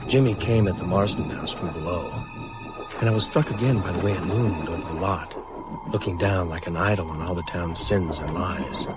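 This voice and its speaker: A man's voice: sits low, normal speed, over loud music, close to an everyday voice. An actor playing a brooding man narrating a horror tale in first person for radio.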